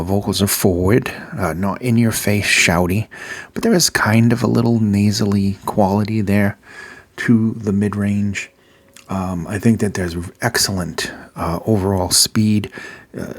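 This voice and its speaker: A man with a Massachusetts accent talks through a casual gear review. His voice sits very low and has a gravelly edge. He fills his pauses with ums and uhs and repeats his words of praise.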